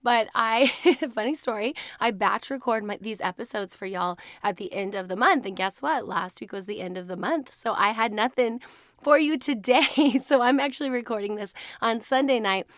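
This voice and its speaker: A hoarse woman hosting her podcast in a casual, chatty way, laughing often. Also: on the high side, moderate pace, fairly bright tone, no echo, clean studio sound. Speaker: podcast host. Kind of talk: casual chat.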